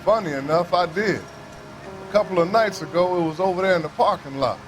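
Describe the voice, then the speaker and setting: An actor playing a plain-spoken, folksy railway worker in a crime game, colloquial and matter-of-fact. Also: male voice, high-pitched, brisk, over music, close to an everyday voice. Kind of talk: cinematic game acting.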